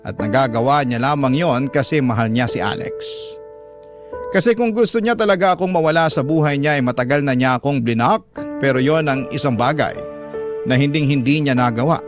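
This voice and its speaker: A man's voice: medium pitch, animated, brisk, lightly acted. A man playing a wounded, lovelorn man narrating his heartache for a radio drama, confessional and aggrieved, with a Filipino accent. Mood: hurt. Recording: music clearly under the voice.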